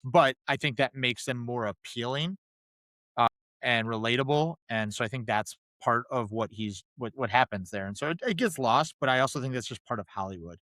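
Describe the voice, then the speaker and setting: A man's voice: mid-range voice, moderate pace, sharp tone, no echo. A man co-hosting a podcast in casual film discussion, informal, jumping in and repeating phrases for emphasis. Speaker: podcast host.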